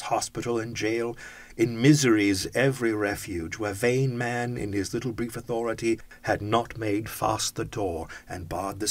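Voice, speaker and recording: A man's voice: on the low side, husky, no room sound. A man reading a classic story aloud, with dramatic, rhythmic phrasing. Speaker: audiobook reader. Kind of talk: expressive storybook narration.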